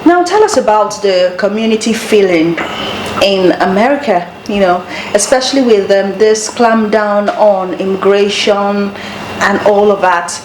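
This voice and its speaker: A woman's voice: medium pitch, measured pace. A woman hosting a TV interview, asking probing, teasing questions. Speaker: TV show host. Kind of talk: casual interview talk. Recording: echoey studio with background noise.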